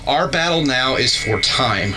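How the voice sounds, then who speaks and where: An actor playing a level-headed, determined male scientist in a radio drama, thoughtful and measured. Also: mid-range voice, expressive, close to an everyday voice, low-quality recording. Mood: resolute. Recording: dramatic music behind voice.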